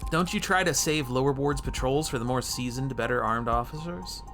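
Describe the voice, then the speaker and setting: A man's voice: medium pitch, normal speed, fairly bright tone, soft background music, natural voice. A man voicing a cool female defense lawyer in a noir game, questioning and sardonic with a dry wit. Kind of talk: reading noir game dialogue aloud.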